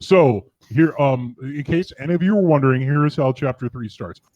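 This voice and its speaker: A man's voice: medium pitch, moderate pace, a little mellow, dry recording, lo-fi sound. A man in his thirties reading bad fan fiction aloud with wry exasperation. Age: 30s.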